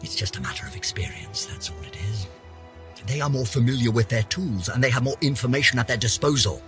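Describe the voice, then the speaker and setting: A man's voice: medium pitch, expressive, fairly quick, fairly bright tone, soft background music, close to an everyday voice. An actor voicing a pompous, vain older British scientist, theatrically narrating his journal for a game with haughty, florid melodrama. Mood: scheming. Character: pompous, vain, aging male scientist, indignant and obsessive.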